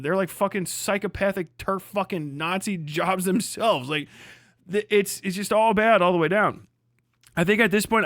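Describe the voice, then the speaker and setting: A man with a fairly high-pitched voice talks off the cuff as a livestream host. His delivery is casual, blunt and scornful, thick with swearing. The sound is very dry, with no room around the voice. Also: fairly bright tone, no room sound. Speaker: livestream host and political commentator. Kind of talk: casual, unscripted livestream commentary.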